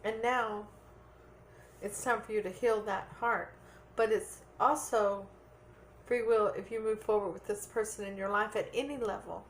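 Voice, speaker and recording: A woman's voice: medium pitch, steady tone, measured pace, a little hiss or hum. A woman giving a tarot reading to viewers in an earnest, repetitive way, checking in with "okay?" and losing her voice a little. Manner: earnest, repeats points with "okay?". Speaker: tarot reader.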